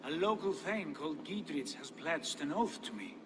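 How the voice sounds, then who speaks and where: An actor playing a proud, confident Viking chieftain in a dramatic game cutscene, speaking in a grand, declamatory way. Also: male voice, fairly high pitch, expressive, moderate pace, lightly acted. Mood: assured. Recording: reverberant, with clear background noise.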